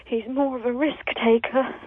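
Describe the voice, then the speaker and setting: An actress playing a blunt, resentful estranged wife in a radio comedy drama, speaking reproachfully. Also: female voice, on the high side, fairly even intonation, normal speed, breathy edge, dry recording. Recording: tinny, phone-like, dry.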